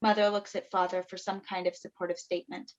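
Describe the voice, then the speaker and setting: A woman's voice: on the low side, little pitch movement, quick pace. A woman reading stage directions as scripted narration for a play over a video call. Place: indoors on a Zoom call. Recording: dull Zoom call audio.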